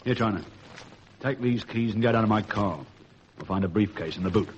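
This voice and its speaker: An actor playing a cold, ruthless, middle-aged British ex-convict and master thief in a vintage radio crime drama, commanding and scornful in an articulate way. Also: male voice, fairly low pitch, fairly quick, lightly acted. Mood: coolly controlled. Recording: dull, noisy old radio recording.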